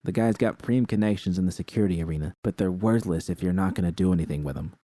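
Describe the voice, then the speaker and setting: A man's voice: deep voice, quick pace, mellow, no echo, studio quality, lightly acted. A man voicing a brash, streetwise young woman with a blunt, slangy, nagging way of talking in an audio story. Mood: pushy. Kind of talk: character dialogue in audio fiction.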